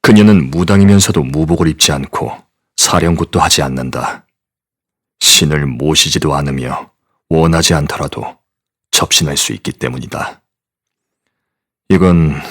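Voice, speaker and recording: A stern male agent in an audio drama. His voice sits extremely low and he gives orders in short, clipped lines. He also narrates with dry asides. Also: fairly bright tone, slightly rough, exaggerated voice.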